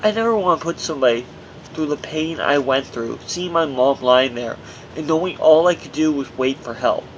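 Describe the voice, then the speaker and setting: A man with a fairly high voice reads his own memoir as an audiobook narrator. His delivery is earnest and scripted, with the odd stumble over a word. There is faint noise on the amateur recording. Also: moderate pace, muffled recording. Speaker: author reading own memoir.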